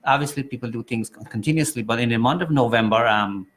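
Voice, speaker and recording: A male chat show host with a mid-range voice. He rambles warmly through his points, with plenty of ums and restarts. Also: medium pitch, fast talker. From Guyana. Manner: rambling, with many um fillers and restarts. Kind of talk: casual live chat show.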